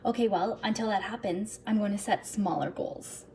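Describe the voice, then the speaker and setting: A woman's voice: medium pitch, moderate pace, vocal fry, darkish tone, slight background noise. A woman speaking as a self-help vlogger, chatty and earnest, addressing her viewers directly and sometimes reading from her own script. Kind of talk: upbeat personal vlog, partly reading from a script.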